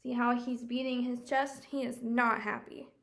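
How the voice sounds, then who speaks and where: A woman's voice: a little high, flat delivery, normal speed. A woman storyteller reading a picture book aloud, expressively explaining and asking young listeners questions.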